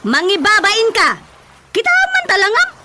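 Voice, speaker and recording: A woman playing an angry, exasperated woman berating a drunkard in a radio drama, with shrill scolding delivery. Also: huge pitch swings, moderate pace, very noisy, exaggerated voice.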